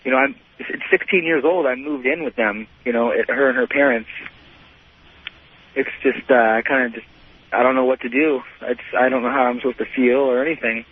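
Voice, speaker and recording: A man in his thirties calling a radio show, telling a personal story in a halting, repetitive way full of "you know". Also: medium pitch, fairly even intonation, husky. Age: 30s. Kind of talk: unscripted personal account on a call-in show. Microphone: telephone. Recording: muffled lo-fi phone call to radio.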